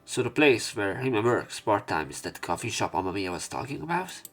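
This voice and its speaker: A man narrating a visual novel let's play, reading the text aloud in a measured way with some stumbles. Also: lowish voice, very animated, a bit bright, faint music behind. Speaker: let's player.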